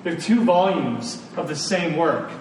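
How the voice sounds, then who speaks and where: A man's voice: animated, measured pace. A man preaching a sermon, emphatic and informal, repeating phrases for stress. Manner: informal, colloquial asides to listeners. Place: large room, speaking to a congregation. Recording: echoey room, distant mic, faint rumbling noise.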